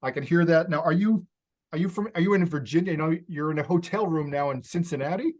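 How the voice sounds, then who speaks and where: A man's voice: a little high, fast, a little mellow. Chatty Canadian man hosting a podcast. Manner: friendly, chatty, laughs often. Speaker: podcast host. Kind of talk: casual interview chat.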